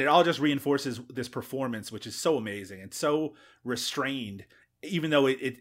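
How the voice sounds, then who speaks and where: A man's voice: mid-range voice, fairly bright tone. A man co-hosting a casual film discussion, chatty and laughing often, with frequent restarts. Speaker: film podcast co-host.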